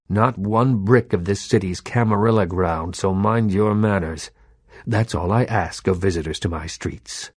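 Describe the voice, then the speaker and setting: Imperious, low-voiced old vampire baron. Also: male voice, darkish tone, no echo, clean studio sound. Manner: imperious, measured, eloquent, condescending. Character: imperious old male vampire baron, Hollywood film mogul. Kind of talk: video game character dialogue.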